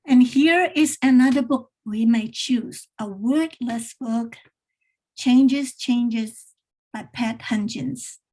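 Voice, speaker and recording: A woman demonstrating read-aloud questions for educators as a workshop presenter, gently prompting as she goes. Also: a little high, takes it slowly, rough recording. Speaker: teacher trainer presenting a workshop.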